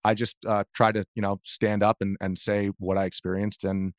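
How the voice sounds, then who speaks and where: An American man speaks as an interview guest in a fairly low voice. He explains himself earnestly and conversationally, often restarting his phrases. The recording is dry. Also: flat delivery, normal speed, dry recording.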